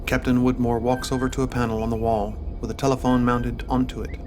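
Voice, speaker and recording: A man's voice: mid-range voice, level tone, fairly quick, background noise. A man reading scripted narration for an audio drama as its narrator.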